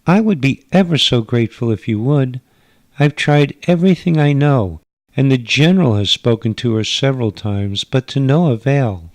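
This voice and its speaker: A gracious, anxious alien mother played by a man for a radio sci-fi tale. The voice is lifted well up in pitch, formal and gently pleading, in a dry, close sound. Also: animated, normal speed, dry recording, natural voice. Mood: anxious, hopeful. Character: polite, worried alien mother with four arms. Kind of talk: old-time radio sci-fi storytelling.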